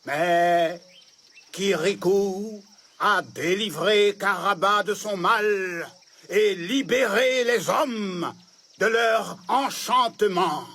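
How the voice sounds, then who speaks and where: A wise, solemn cartoon elder, speaks extremely slowly in a high voice. He explains with calm authority. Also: heavily acted. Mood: solemn, forgiving. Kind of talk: animated film dialogue.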